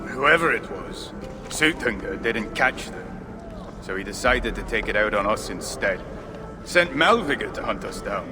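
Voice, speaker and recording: A man's voice: fairly low pitch, animated, measured pace, noisy background. A man playing a sour, shifty dwarf rogue in a video game, with a sardonic, grumbling delivery. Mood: grumbling, sly. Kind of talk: video game cinematic acting. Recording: music under the voice. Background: cinematic game music.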